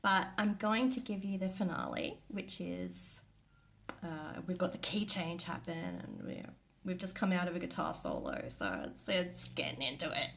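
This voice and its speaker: A woman's voice: lowish voice, big pitch swings, moderate pace, vocal fry. A woman chatting casually and gushing about her favourite bands, rambling with lots of fillers. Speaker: vlogger. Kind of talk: casual chat.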